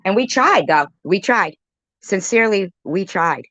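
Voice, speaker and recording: A woman's voice: mid-range voice, animated, unhurried, a bit bright, no echo, lo-fi sound. A woman doing casual reaction commentary in an accusatory, sarcastic way. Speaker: commentary video host. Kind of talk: casual reaction commentary on a live stream clip.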